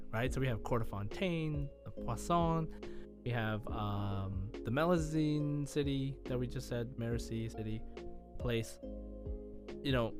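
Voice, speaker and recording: Mid-pitched man hosting over light music. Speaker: podcast co-host. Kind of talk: casual gaming podcast chat.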